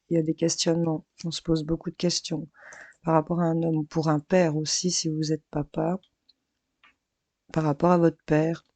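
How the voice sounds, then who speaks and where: A woman doing a chatty daily tarot reading for each star sign, hoarse from losing her voice, hesitant and addressing viewers as friends, with her mic set a bit farther away. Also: deep voice, a little mellow, dry recording. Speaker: tarot card reader. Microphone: mic placed farther away.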